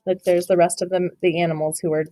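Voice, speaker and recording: A woman reading a picture book aloud to a child, stopping to ask questions, gentle and encouraging with dramatic storytelling. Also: on the low side, flat delivery, fast.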